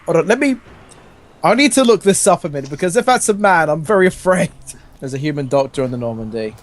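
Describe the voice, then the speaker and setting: Lively young man reading fan fiction. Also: fairly high pitch, quick pace. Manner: jokey, stumbles over lines. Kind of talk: casual story reading with banter.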